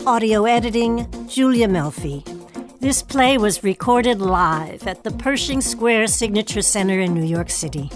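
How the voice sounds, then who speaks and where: A woman's voice: mid-range voice, lively intonation, a little slow, faint music behind. A woman hosting a theatre programme, presenting and speaking as an interviewer and announcer. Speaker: host of a theatre podcast. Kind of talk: hosted interview and scripted credits.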